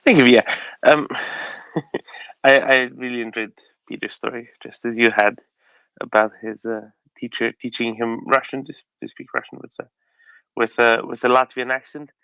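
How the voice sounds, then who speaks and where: A man's voice: mid-range voice, fairly even intonation, normal speed, creaky, pushed and bright, clean tone, dry recording, poor audio. A man hosting an online audio room, musing aloud with frequent hesitations and fillers.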